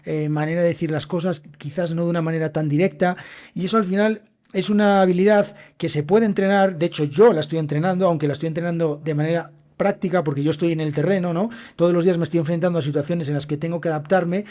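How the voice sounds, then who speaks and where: A man's voice: on the high side, steady tone, quick pace, warm tone. A young man with a Castilian Spanish accent, hosting a solo Spanish-learning podcast and explaining things directly to his students. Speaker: podcast host and Spanish teacher. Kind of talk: solo educational podcast monologue.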